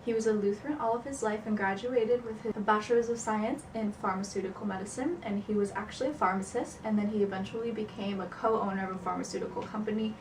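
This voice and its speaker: A woman's voice: medium pitch, fairly even intonation. A young woman, a student, narrating and casually interviewing her family at home, chatty and teasing. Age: young adult. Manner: chatty, teasing, quick to laugh. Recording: roomy, distant camera mic, faint hiss.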